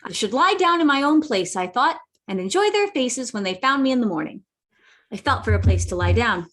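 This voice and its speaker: A woman's voice: a little high, expressive, brisk, fairly bright tone. A woman playing an earnest, plucky boy adventurer who narrates a radio play dramatically. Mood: earnest storytelling. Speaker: narrator and lead character.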